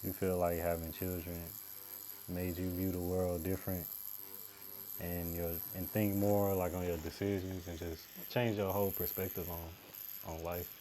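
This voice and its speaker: A young man in his twenties hosts a relaxed chat at his tattoo studio. His voice is very deep and mellow. He keeps his answers brief and easygoing, with a faint background hiss. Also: unhurried. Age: 20s. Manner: laid-back, short replies. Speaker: host and tattoo artist. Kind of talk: casual conversation.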